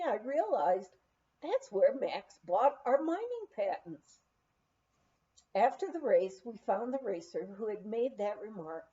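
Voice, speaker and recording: A woman reading a memoir aloud in an expressive, unhurried storytelling manner. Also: on the high side, lo-fi sound. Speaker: audiobook reader.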